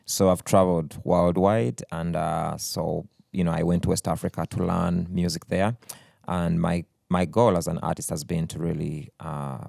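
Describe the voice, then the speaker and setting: A very low, gravelly voice from a man from Uganda who grew up speaking Luganda. He explains at an easy pace, pausing on fillers as he gathers his thoughts. The studio sound is close and dry. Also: flat delivery, darkish tone, no echo. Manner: thoughtful, unhurried, with frequent fillers. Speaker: musician guest. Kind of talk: radio studio interview. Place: radio studio.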